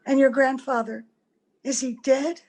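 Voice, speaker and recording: A woman's voice: sits high, level tone, unhurried, a little mellow. A woman playing a strange, weary, evasive young woman in an old-time radio drama. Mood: hesitant, yearning. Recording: home video-call audio, music behind.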